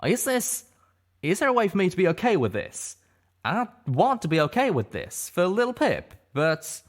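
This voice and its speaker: A man's voice: sits high, huge pitch swings, moderate pace, pitched above the performer's own voice, full character voice. A man voicing a brash, agitated male pegasus pony with a folksy, exclamatory manner in an audiobook. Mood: troubled.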